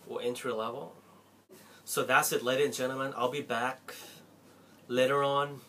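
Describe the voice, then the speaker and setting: A man's voice: mid-range voice, normal speed, slight background noise. Earnest Thai man talking to camera. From Thailand. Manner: heartfelt, pleading, expressive swings of loudness. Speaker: Apple store salesperson and tech vlogger. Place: small cluttered bedroom indoors.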